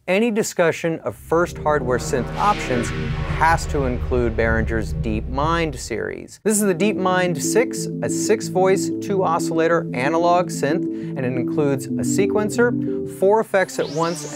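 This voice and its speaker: A middle-aged man narrating a scripted product overview in a clear, explanatory way with varied emphasis. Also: fairly high pitch. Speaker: product video presenter. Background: music and synthesizer playing.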